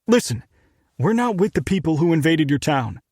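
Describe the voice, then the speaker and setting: Man voicing an earnest American man, placating, calm, slightly raised pitch, gravelly, dry close sound. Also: moderate pace, no echo. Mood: pleading. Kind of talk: audiobook character voice.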